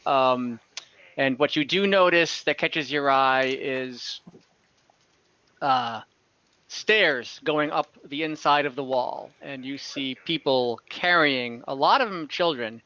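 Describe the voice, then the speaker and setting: A man's voice: medium pitch, unhurried, bright, forceful tone, clean tone. A man narrating as game master, describing a scene casually with many fillers and self-corrections. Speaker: dungeon master. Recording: slightly dull lo-fi online audio.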